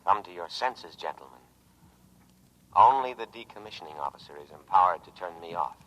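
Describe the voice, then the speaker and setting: An actor playing a calm, pompous, paranoid war machine, a talking lifeboat, with solemn, measured oratory in an old radio drama. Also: male voice, low voice. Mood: sternly unyielding. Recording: muffled vintage radio broadcast recording.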